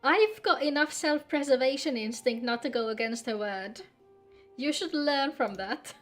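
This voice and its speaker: A woman game streamer with a fairly high voice chats informally with her viewers. She is teasing and swears freely. Faint music plays behind her. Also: moderate pace. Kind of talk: casual chat with stream viewers.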